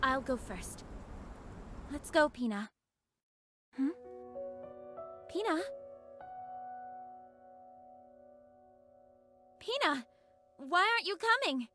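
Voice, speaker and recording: Gentle composed young woman leader, highish voice, earnest game drama acting, music behind. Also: big pitch swings, slow, long pauses, heavily acted. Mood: puzzled, urging. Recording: game dialogue over clear background music.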